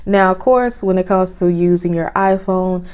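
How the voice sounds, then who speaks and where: A soft-spoken young woman, chatting casually with lots of fillers as she reviews cameras at home, recorded on a phone with a clip-on mic. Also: lowish voice, moderate pace, clean tone. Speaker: vlogger. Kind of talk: casual product review vlog. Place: home, indoors. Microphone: phone with clip-on lapel mic.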